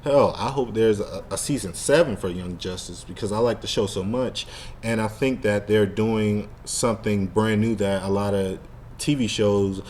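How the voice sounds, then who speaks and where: Low-voiced man rambling casually, noisy recording. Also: steady tone, moderate pace, a little mellow. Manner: rambling, heavy use of "like" and slang. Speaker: podcast co-host. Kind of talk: casual fan discussion.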